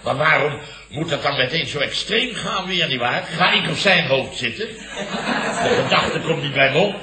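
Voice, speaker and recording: An actor playing a flustered, long-winded middle-aged boss who blusters and repeats himself in a radio comedy. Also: male voice, fairly high pitch, lively intonation, moderate pace, crowd noise, natural voice. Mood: exasperated, rambling. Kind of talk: 1970s Dutch radio comedy acting. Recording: roomy old radio recording, studio audience.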